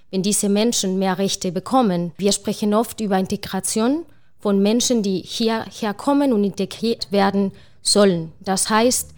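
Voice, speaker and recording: A woman's voice: mid-range voice, a little mellow. Spanish lady on a discussion panel, earnest, keeps going, restarts a bit. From Spain. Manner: earnest, fluent, with searching restarts. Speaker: panel guest, activist.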